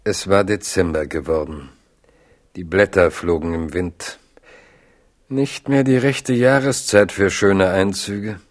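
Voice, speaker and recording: Erzähler mit sehr tiefer Stimme. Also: male voice, sits low, slow. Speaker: narrator. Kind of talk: scripted literary narration.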